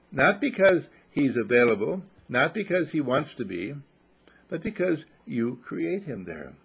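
Husky-voiced man preaching emphatically. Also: mid-range voice. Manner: emphatic, repetitive, rhetorical questions. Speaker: spiritual teacher. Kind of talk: sermon. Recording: dull lo-fi recording.

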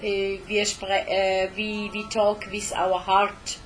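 Woman, interpreter, slow careful delivery, frequent hesitations, faint music behind. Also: medium pitch, flat delivery, low-quality recording. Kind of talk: live interpreting between English and German.

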